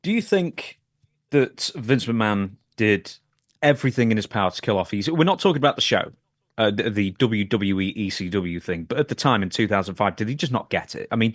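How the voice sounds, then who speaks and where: Low-voiced man asking wry questions, dry. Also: expressive, a little fast, dry recording. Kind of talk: casual podcast interview.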